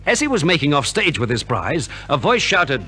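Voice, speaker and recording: Lively 1950s radio narrator, higher-pitched man. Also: moderate pace, slightly rough. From Australia. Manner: polished, theatrical storytelling. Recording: vintage 1950s radio transcription recording.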